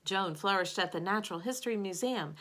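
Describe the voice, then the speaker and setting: A woman with a mid-range voice reads a children's bedtime story aloud. She sounds like a teacher sharing a picture book, giving key words expressive, dramatic emphasis. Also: mid-range voice, brisk.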